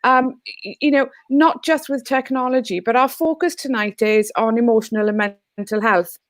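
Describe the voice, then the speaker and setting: A woman's voice: fairly high pitch, steady tone, moderate pace, no echo. Earnest Welsh woman, dry close sound. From Wales. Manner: earnest, heartfelt. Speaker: teacher and guest speaker. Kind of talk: online panel talk. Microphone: video call.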